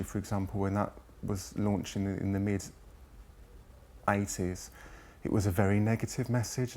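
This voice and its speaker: A man in his forties talks reflectively about his own life in an interview. His voice is fairly low and stays very even, with an earnest delivery. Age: 40s. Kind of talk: personal recollection in an interview.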